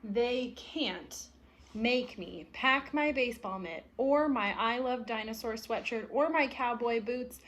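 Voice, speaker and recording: A woman's voice: a little high, slow pace, fairly bright tone, slight background noise. A woman reading the part of a stubborn, indignant young boy from a picture book, with emphatic delivery. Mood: defiant. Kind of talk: picture-book read-aloud.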